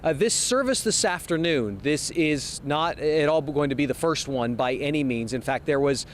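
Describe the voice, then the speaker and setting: A man reporting live as a television news field reporter from outdoors on a city street. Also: moderate pace. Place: outdoors on a city street outside an event venue. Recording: outdoor street noise, traffic rumble.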